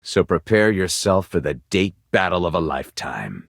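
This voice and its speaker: Gravelly, theatrical game developer. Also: male voice, sits low, very animated, moderate pace, dry recording, studio quality, heavily acted. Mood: theatrically menacing. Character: weary, theatrical, fussy male game developer. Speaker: game director character. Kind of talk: video game voice acting.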